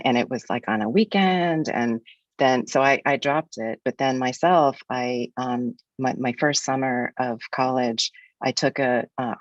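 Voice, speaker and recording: A woman's voice: very deep voice, no room sound, highs cut off. A woman in her sixties from the United States, a guest speaker in an academic webinar, talking in a frank, reflective way with some restarts.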